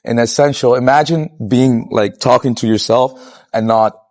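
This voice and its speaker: A young man from Curacao talking outdoors on a city street as an interviewee, relaxed and blunt, swearing freely and laughing as he talks. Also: medium pitch, moderate pace. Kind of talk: casual street conversation.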